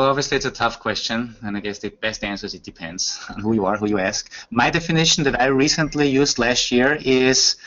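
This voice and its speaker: A man's voice: medium pitch, a bit bright. A middle-aged man from Austria, a German speaker, explaining his work as a podcast guest with frequent fillers. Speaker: guest, performance expert. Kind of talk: casual podcast chat. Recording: muffled lo-fi audio.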